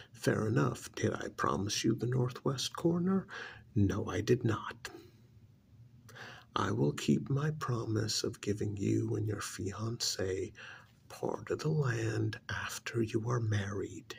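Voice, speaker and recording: Casting: male actor as bitter alien posing as a stern uncle, very slow and heavily creaky, for an ASMR roleplay. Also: on the low side, slight background noise, full character voice. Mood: irritated. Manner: stern, contemptuous, slow emphatic delivery with pauses.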